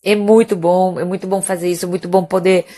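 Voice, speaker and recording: A woman's voice: lowish voice, steady tone, quick pace, mellow. A woman in her fifties from Mallorca, a native Catalan and Castilian speaker with a Spanish accent, chatting informally as a doctor hosting a live stream, often hesitating and checking in with tag questions. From Mallorca, Spain. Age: 50s. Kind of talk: casual live chat with viewers.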